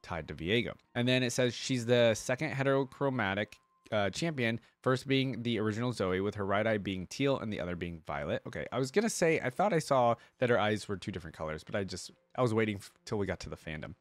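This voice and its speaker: A man hosting a casual reaction video, rambling and thinking out loud with enthusiasm. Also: on the low side, expressive, quick pace, no room sound. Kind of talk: casual reaction commentary, reading aloud.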